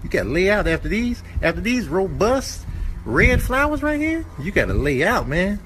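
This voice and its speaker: A man's voice: sits high, very animated, moderate pace, a bit bright. A man voicing a cocky, streetwise, easily riled animal, griping in slang for a comic animal voice-over. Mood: mellow, dazed.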